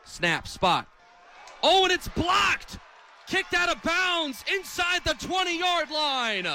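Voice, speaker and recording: High-pitched young male football play-by-play announcer. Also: takes it slowly. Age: 20s. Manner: animated, builds from clipped calls to excited shouting. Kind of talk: live American football radio commentary. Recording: stadium crowd behind the commentary. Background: stadium crowd.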